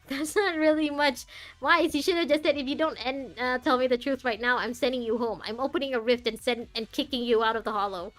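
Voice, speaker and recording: A woman's voice: high voice, steady tone, brisk, fairly bright tone, soft background music. A woman streamer giving casual commentary on a story game and talking back to its characters.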